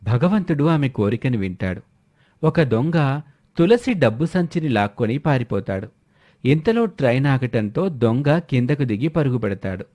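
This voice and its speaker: A man's voice: mid-range voice, big pitch swings, moderate pace, a little mellow. A man narrating a scripted moral story.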